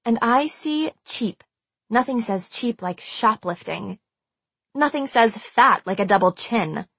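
A woman voicing a sharp-tongued, combative teenage girl in an audiobook, with biting sarcasm. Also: fairly high pitch, expressive, slow pace, breathy edge, dry recording, full character voice. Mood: angry, biting. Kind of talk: audiobook character dialogue.

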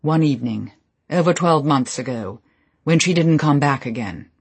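A man reading the part of a calm, authoritative older colonel who questions a witness in a measured, gentle but firm way. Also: on the high side, gravelly, slightly rough, pitched above the performer's own voice, heavily acted. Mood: coaxing.

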